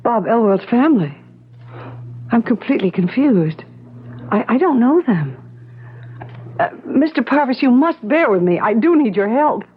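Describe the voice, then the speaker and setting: A woman's voice: fairly high pitch, very animated, normal speed, long pauses, slightly rough. An actress playing an anxious, bewildered wife of a missing man in old-time radio drama style, earnestly pressing with questions. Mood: confused, pleading. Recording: muffled vintage radio broadcast.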